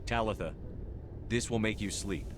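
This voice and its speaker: A man as a very low-voiced military commander. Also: background noise, lightly acted. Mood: gentle, calming. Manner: measured, plain-spoken. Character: steady military commander, firm, calm, protective. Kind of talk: video game acting.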